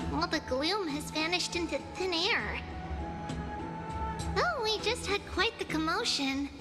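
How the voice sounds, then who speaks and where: An actress voicing an elegant young noblewoman in a fantasy video game, with a measured, ornate, theatrical storytelling delivery. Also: extremely high pitch. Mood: serene, gracious. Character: elegant, poetic young noblewoman telling a grand legend. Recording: long reverb under loud music.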